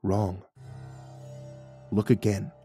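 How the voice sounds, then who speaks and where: A man voicing a patient, unconcerned shaman, a dryly teasing mystic, with calm, unhurried delivery in an audiobook. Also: fairly low pitch, faint music behind, voice pushed down, lightly acted. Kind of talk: audiobook character dialogue.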